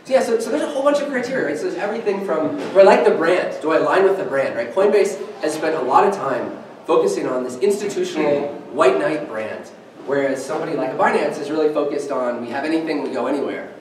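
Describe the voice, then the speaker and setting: A young man giving an energetic, conversational presentation at a meetup, quizzing the audience as he goes. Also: a little high, fast, slight background noise. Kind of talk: conference presentation. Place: meeting room with a screen and podium. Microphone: far camera, no mic. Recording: echoey room from far off.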